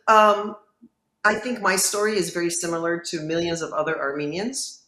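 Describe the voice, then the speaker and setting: A woman from Lebanon, in her fifties, speaks with a bright, forceful tone that rings out in an echoey room. She tells her personal story earnestly and reflectively, as a guest in an interview. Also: fairly low pitch, fairly even intonation, moderate pace. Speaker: judge and guest. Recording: roomy, echoey sound.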